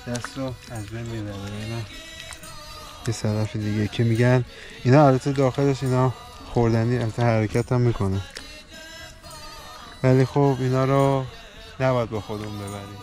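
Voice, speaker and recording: A man's voice: medium pitch, fairly even intonation, long pauses. A man hosting an outdoor vlog by the sea, chatting casually to viewers. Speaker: vlog host. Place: outdoors on a seashore. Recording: very loud music over voice. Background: very loud music.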